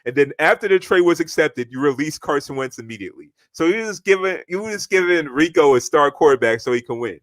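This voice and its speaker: Young male co-host, lively swooping pitch, dry close sound, cocky trash talk. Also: fast talker, hardly any pauses, no room sound. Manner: boastful trash talk, repeats himself. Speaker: podcast co-host. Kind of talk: casual chat.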